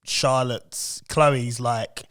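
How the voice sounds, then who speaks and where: A man's voice: medium pitch, steady tone, drawn-out words, fairly bright tone. A middle-aged man chatting on a podcast, a laid-back storyteller who laughs often. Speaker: podcast co-host. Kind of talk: casual chat.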